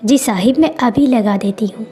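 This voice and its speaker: A woman acts out a gentle storybook mother of humble means. Her voice is high and mellow with a husky edge, over soft music. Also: normal speed. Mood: agreeable. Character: gentle, poor young mother and wife.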